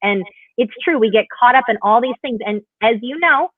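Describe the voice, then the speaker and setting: Over a narrow, phone-like connection with a dry sound, an American woman talks in a fairly high voice. She is a gushing, emphatic guest in a casual motivational chat. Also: a little fast, dry recording. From Hawaii, United States. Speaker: interview guest, leadership coach. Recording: tinny, narrow phone-like call audio.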